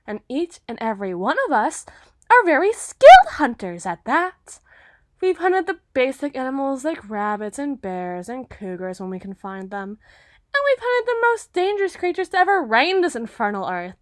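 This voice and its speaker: A high, dramatically swooping female voice, recorded close and dry, for an audio roleplay. A woman acts out an aristocratic huntress who is condescending and possessive. Her phrasing is formal and theatrical, with heavy emphasis. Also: a bit bright, no echo.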